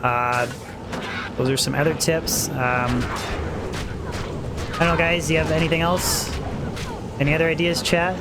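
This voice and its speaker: Guy streaming a game, kinda high voice getting worn out, rambly and slangy, noisy background. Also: fairly even intonation, a little slow, pauses often. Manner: rambling, slangy, self-deprecating. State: voice tiring. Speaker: game livestreamer. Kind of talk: casual livestream chat and game commentary.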